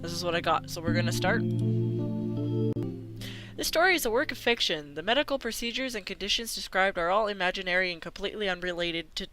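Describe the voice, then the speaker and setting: A woman hosting a gaming video, reading a visual novel aloud haltingly, stumbling and correcting herself. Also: fairly low pitch, lively intonation, loud music behind the voice.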